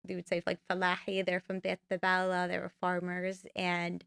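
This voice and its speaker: Young woman guest on a podcast, lowish voice w/ some fry, says like a lot, dry studio sound. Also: completely flat delivery, moderate pace, fairly bright tone, dry recording. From United States. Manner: rambling, many "like" fillers. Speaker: filmmaker guest. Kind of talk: casual interview talk.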